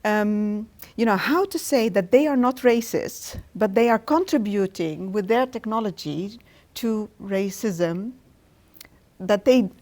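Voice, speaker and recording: A woman's voice: mid-range voice, takes it slowly, a little mellow. A middle-aged woman professor from Tunisia, answering interview questions thoughtfully with frequent restarts. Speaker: guest professor.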